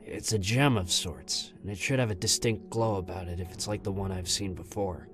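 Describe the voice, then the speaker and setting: A low-pitched dog-man fighter, acted by a man for a fantasy audio story. He is weary and earnest, with an impatient streak. Music plays clearly behind him. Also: steady tone, normal speed, a bit bright. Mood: earnest, subdued.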